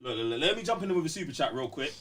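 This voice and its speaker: A man's voice: fairly high pitch, sing-song, very fast, a bit bright, far from the mic. A man with a London accent chatting casually as a podcast co-host, blunt, slangy and exclamatory. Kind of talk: casual gaming podcast banter.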